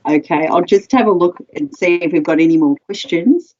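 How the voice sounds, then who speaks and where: Interviewer in her forties, woman, lower voice, calm and measured, muffled video call sound. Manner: measured, reads out audience questions. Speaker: library interviewer. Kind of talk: hosting a live interview. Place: home room. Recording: slightly dull video call audio.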